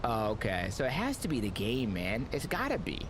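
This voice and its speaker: A man's voice: medium pitch, brisk, background noise. A man streaming a flight simulator, chatting casually and rambling with lots of fillers. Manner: rambling, filler-heavy, excitable. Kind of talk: casual gameplay commentary.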